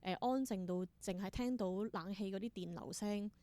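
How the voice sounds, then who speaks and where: A woman with a Hong Kong Cantonese accent speaks at a middle pitch as an interview guest. She talks conversationally, explaining as she goes and mixing English words into her sentences. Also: normal speed, dark tone. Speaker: interview guest, theatre performer.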